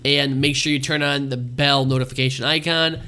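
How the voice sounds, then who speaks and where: A man's voice: medium pitch, steady tone, normal speed, pushed and bright. Man losing his voice on a livestream. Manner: rambling, thanks viewers often. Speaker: livestream host. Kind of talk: casual live stream chat.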